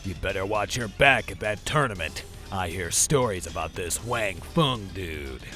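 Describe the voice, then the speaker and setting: A man acting a gruff, streetwise criminal henchman in a comic dub. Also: deep voice, expressive, unhurried, bright, forceful tone, soft background music, exaggerated voice. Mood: wary. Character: tough, wary male criminal henchman.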